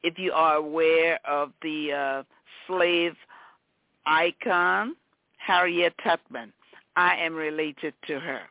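A woman from Liberia with a Liberian accent, telling her story graciously and in a measured way as an interview guest. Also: low-pitched, steady tone, no echo. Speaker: podcast guest, nonprofit director. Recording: narrow phone-like band.

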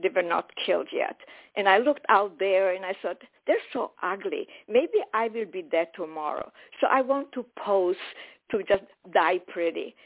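A woman in her eighties, born in Romania with German as her first language, telling her life story as a radio guest, lively, candid and quick to joke. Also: mid-range voice. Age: 80s. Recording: phone-line radio sound.

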